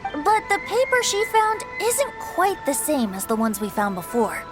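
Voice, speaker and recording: A breezy boy bard from a video game, with a high, lilting voice. The delivery is light and playful, set over prominent music. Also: wildly swooping pitch, normal speed. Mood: matter-of-fact.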